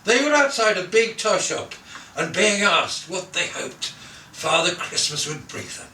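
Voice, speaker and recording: A man reading a children's Christmas story aloud in an expressive, theatrical way, savouring lists and softening the ends of his phrases. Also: high voice, a little slow, slight background noise. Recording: roomy echo, distant from the mic.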